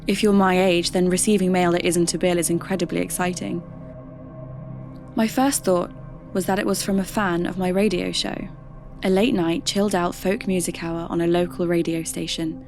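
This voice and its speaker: An actress playing a curious radio DJ hosting a podcast, narrating in a measured, conversational way. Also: female voice, on the low side, steady tone, a little mellow, faint music behind, natural voice. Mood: measured, intrigued. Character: spooky-curious female radio DJ turned podcast host. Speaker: host.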